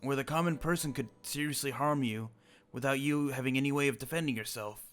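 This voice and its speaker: A man's voice: medium pitch, brisk. A young man roleplaying an old immortal wizard, rambling and restarting his sentences. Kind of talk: in-character roleplay chat.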